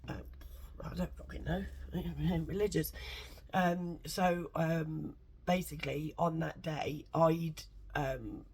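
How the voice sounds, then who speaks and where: A woman with a congested, bunged-up nose, rambling excitedly and swearing a lot in a casual vlog from home. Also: low voice, takes it slowly, a little hiss or hum. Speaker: vlogger.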